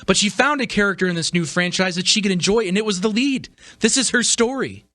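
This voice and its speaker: A man's voice: high-pitched, lively intonation, a little fast, bright, forceful tone, dry recording, studio quality. A man, perhaps middle-aged, giving a casual, opinionated podcast monologue as host, stressing his points emphatically. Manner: emphatic, repeats phrases for stress.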